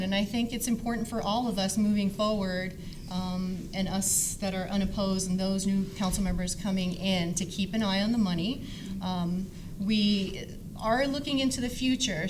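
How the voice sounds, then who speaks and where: Middle-aged woman speaking over clear background noise. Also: medium pitch. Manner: rambling, conversational. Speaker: city council member and candidate. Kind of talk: informal speech at a candidate forum. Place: public forum, live event. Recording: noisy live room sound.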